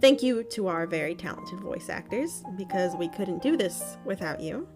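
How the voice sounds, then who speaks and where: A woman's voice: mid-range voice, lively intonation, normal speed. Chatty woman hosting over guitar music. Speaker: podcast co-founder and host. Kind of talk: informal thank-you announcement to listeners.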